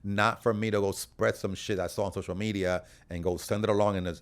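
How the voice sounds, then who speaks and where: A man's voice: fairly low pitch, level tone, brisk. A man hosting a casual conversational interview, talking in a rambling, informal way with occasional asides. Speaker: podcast host interviewing guests.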